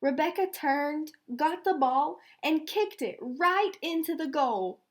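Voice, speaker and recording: Slow, high-voiced woman teacher reading aloud. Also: expressive. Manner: deliberate, explanatory, counts aloud step by step. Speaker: elementary school teacher. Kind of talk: read-aloud storytelling with teaching asides.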